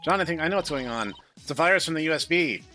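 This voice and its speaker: A man's voice: fairly high pitch, expressive, fast, sharp tone, soft background music, pitched above the performer's own voice. A man voicing a playful, teasing, helpful female AI virus assistant in a visual novel. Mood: alert. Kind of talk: visual novel read-aloud.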